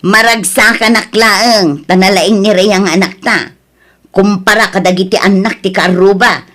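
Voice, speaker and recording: A woman's voice: mid-range voice, fairly even intonation, moderate pace, a bit bright, natural voice. A woman with an Ilocano accent playing a boastful, scolding mother who mocks the neighbours' children in an audio drama. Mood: boastful, mocking. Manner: haughty, scolding, gossipy.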